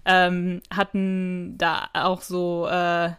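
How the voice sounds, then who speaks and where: A woman's voice: fairly low pitch, monotone, drawn-out words, a bit bright, clear, resonant tone. A woman co-hosting a podcast, chatting casually and telling stories in an informal, loosely strung way. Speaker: podcast co-host. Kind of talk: casual chat.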